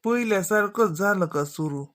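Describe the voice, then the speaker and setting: A man voicing an elderly, protective village father in a comedy cartoon, with a halting, homely manner and a rural Varhadi Marathi accent from Vidarbha. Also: sits high, expressive, a bit bright, dry recording, studio quality. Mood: concerned, advising.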